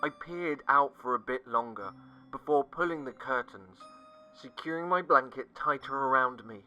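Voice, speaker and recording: A man narrating a scripted first-person horror story, dramatic and sinking to hushed tones at the tense moments. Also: mid-range voice, normal speed, dull audio. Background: faint music.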